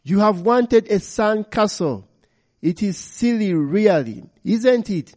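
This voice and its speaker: Man raising his voice for a small girl spirit, playful, wise, lifted pitch, slow. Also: lively intonation, darkish tone. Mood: gently chiding.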